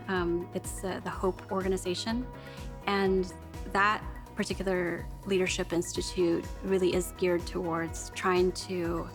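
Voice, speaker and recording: A woman in her thirties from California's Central Valley, speaking earnestly and reflectively in an interview about her public policy career. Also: fairly low pitch. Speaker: award recipient, public policy staffer. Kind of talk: recorded interview testimonial.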